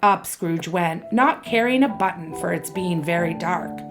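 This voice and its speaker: A woman tells the story in a steady way. Her voice is fairly low, and music plays clearly behind her. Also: a little slow. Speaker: narrator. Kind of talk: radio play narration.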